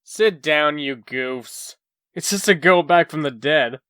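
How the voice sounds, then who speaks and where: A man's voice: on the high side, slow, bright, forceful tone, dry recording, studio quality. A man playing a cocky, irreverent young schemer in a comic dub, breezy and slangy with a mocking edge. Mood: flippant.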